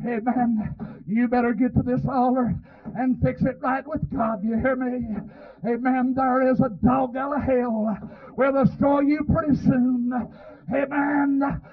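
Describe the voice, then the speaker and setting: A male revival preacher with a hoarse, strained voice, delivering a fervent, pleading sermon that is impassioned and punctuated with amens. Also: extremely high pitch, steady tone, unhurried. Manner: impassioned, pleading, breaking into shouts and cries; punctuates phrases with repeated amens. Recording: muffled, phone-like lo-fi sermon recording.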